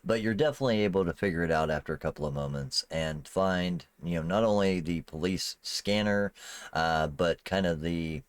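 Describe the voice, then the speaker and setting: Guy running a tabletop rpg, deep voice, dry sound, chatty, making up the narration as he goes. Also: dry recording. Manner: conversational, often asks "you know what I mean". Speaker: game master. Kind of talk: improvised narration of a tabletop role-playing game.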